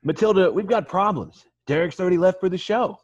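A man acts out a dim, excitable male model from a comedy. His voice sits high and husky. He is earnest and dramatic, easily frustrated, heard through dull video call audio. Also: fairly quick. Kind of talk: amateur table read over video call. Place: home, remote video call.

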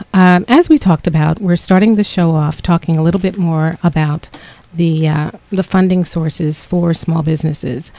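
A woman hosts a business talk show on the radio, her voice sitting a little low. She talks in a chatty way, with frequent ums, as she reads out figures and asks questions. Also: mellow. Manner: chatty, many ums, reads stats aloud. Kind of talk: radio interview. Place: radio studio.

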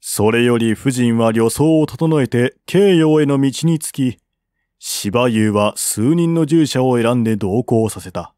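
A man reading a historical novel aloud as scripted narration, in a formal, measured literary manner. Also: medium pitch, a little mellow, no room sound, clean studio sound. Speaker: audiobook reader.

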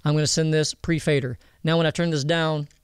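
A man giving a casual software tutorial, explaining informally and directly to viewers. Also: a little high, flat delivery, brisk, smooth, dry recording, clean studio sound. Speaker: audio mixing instructor. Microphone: close voice-over mic over screen recording.

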